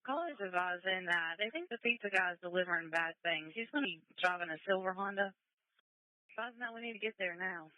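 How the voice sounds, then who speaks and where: A woman's voice: on the low side, expressive, a little fast, no echo, phone-quality sound. A woman dispatching matter-of-factly over a two-way radio. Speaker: emergency dispatcher. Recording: dry police scanner radio.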